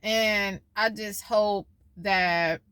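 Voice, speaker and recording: A woman's voice: medium pitch, steady tone, very slow, fairly bright tone, clear, resonant tone, no echo. A woman in her twenties talking casually in a personal vlog, rambling and losing her train of thought as she shares her worries and her faith. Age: 20s. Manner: worried, faith-filled musing. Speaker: vlogger.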